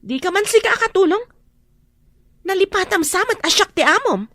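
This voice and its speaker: An actress playing a greedy, bossy wife in an Ilocano radio drama, with an Ilocano accent and a sharp, demanding delivery. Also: female voice, very high voice, lively intonation, normal speed, no room sound, studio quality. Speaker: scheming lady of the house.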